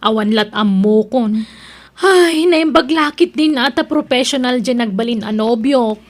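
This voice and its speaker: A woman's voice: a little high, measured pace, lightly acted. A woman playing a boastful, gossipy young woman in an audio drama, haughty and belittling, with an Ilocano accent. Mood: snobbish. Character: boastful, gossipy young woman proud of her professional boyfriend.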